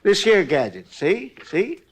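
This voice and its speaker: Folksy, rambling, high-pitched hotel clerk. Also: male voice, huge pitch swings, breathy edge, full character voice. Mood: chatty, proud. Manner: rambling, folksy, unhurried storytelling. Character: folksy, talkative hotel night clerk, easily sidetracked. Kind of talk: 1950s radio drama acting. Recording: vintage 1950s radio recording.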